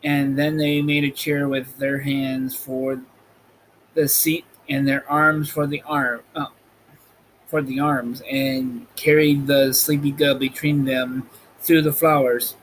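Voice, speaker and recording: A man reading a children's storybook aloud as a podcast host, in an amateur, slightly halting way. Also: mid-range voice, little pitch movement, slight background noise, rough recording.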